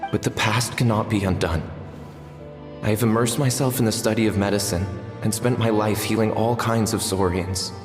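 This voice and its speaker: A man's voice: fairly low pitch, fairly even intonation, normal speed, lightly acted. An actor playing a grief-stricken, guilt-bound healer who solemnly recounts his past in a video game. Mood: solemn remorse. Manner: solemn, mournful, formal storytelling. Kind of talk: dramatic scripted video game narration. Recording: long echoing reverb, music underneath.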